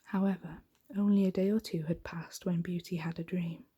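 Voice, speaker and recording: A woman reading a bedtime story in a soft-spoken ASMR style, hushed, gentle and breathy. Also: fairly low pitch, steady tone, moderate pace.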